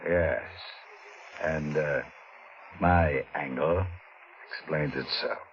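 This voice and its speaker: An actor playing a smooth, menacing schemer who drops to a low murmur, in 1940s radio acting. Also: male voice, fairly even intonation, very slow, exaggerated voice. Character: smooth sinister older male schemer. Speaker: scheming villain. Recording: dull noisy old radio recording.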